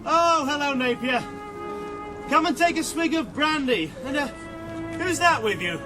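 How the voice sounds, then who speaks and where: Very high, excitable army major. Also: male voice, measured pace, music in the background. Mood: hearty, astonished. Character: bluff, hearty British brigade major, startled husband. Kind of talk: radio drama dialogue.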